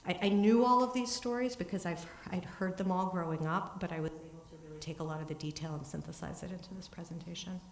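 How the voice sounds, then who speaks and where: A woman giving an earnest, steady talk in a synagogue, then answering questions, with small restarts. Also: lowish voice, fast, few pauses. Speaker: congregant giving a family history talk. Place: synagogue sanctuary. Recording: echoey room.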